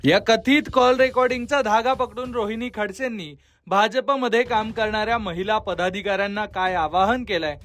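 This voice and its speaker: A man's voice: high voice, fairly even intonation, normal speed, hardly any pauses, fairly bright tone, a little hiss or hum. A man reading scripted news narration as a presenter.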